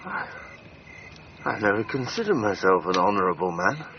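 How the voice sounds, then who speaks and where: An actor playing a proud, moody gentleman adventurer in a radio drama. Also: lowish voice, big pitch swings, moderate pace. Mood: weary, despairing. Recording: dull sound with faint background noise.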